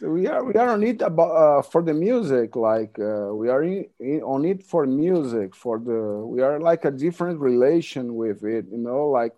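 A Brazilian man in his forties with a Brazilian Portuguese accent, chatting as a guest in an interview, halting and repeating words, laughing often. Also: mid-range voice, mellow. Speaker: band member, guest. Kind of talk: casual interview chat.

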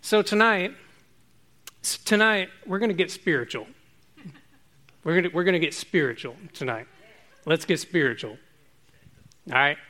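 A man's voice: a little high, normal speed, long pauses. Man, preacher, informal sermon, talkative, light humor. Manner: relaxed, chatty, self-joking with restarts.

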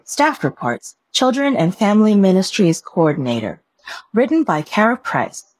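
A woman reading a written staff report aloud in a measured, careful way, like a scripted narration. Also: mid-range voice, big pitch swings, a little mellow, no echo.

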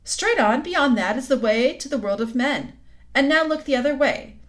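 Sly queen, coaxing and cold, read by a woman; high, lively voice. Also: moderate pace. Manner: coaxing, silky, suddenly sharp. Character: sweetly scheming cold queen, tall and commanding.

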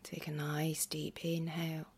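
Hushed, very slow woman guiding meditation. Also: low voice, completely flat delivery. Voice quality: breathy, husky, often near-whisper. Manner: gentle, unhurried, with long pauses. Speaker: yoga nidra meditation guide. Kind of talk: guided meditation, hushed and soothing.

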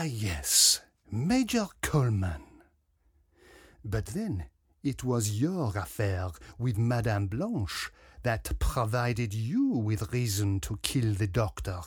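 A man voicing a pompous, dapper little detective with a French accent, theatrical and deliberate, in a mystery story. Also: mid-range voice, breathy edge, no echo, clean studio sound, heavily acted. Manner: pompous, self-regarding, deliberate.

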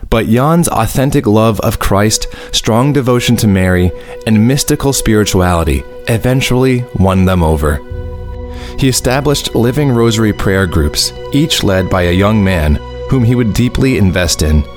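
A man with a fairly low voice narrates a scripted radio drama. Music plays clearly underneath his storytelling. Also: lively intonation, moderate pace.

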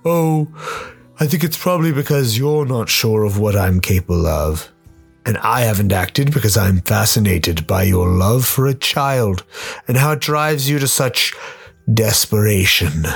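A man playing a sly, towering demonic gardener in a radio play, courteously menacing, teasing and unhurried. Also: fairly low pitch, lively intonation, creaky, breathy edge, heavily acted. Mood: amused menace. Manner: unhurried, teasing, mock-polite. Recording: music behind the voice.

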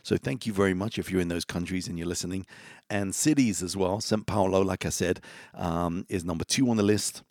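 Man, podcast host, low gravelly voice, dry close sound, laid-back chat. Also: brisk, no echo. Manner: relaxed, chatty, talks straight to listeners. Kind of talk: casual chat between songs.

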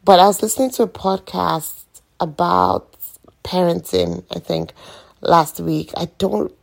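A middle-aged woman with a croaky morning voice, speaking in a rambling, reflective way on an informal solo podcast. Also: fairly low pitch, unhurried. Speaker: podcast host.

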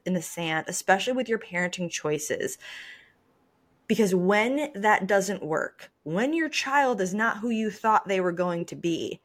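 A woman, around her thirties, hosting a casual podcast, giving advice and repeating phrases for emphasis. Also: mid-range voice. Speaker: podcast host.

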